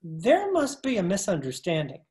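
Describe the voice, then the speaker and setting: Actress as mob lawyer: polite, evasive, lower register, formal old radio drama delivery. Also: female voice, wildly swooping pitch, normal speed, mellow. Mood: flustered. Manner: formal, courteous, hesitant. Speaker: amateur radio play actor. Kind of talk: 1940s radio crime drama acting. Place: at home, recorded over video call.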